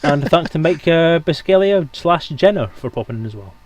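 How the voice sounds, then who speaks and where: A man's voice: on the high side, lively intonation, normal speed, a little mellow, no room sound. Man hosting a casual livestream. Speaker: livestream host. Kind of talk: casual sign-off chat to guests and viewers.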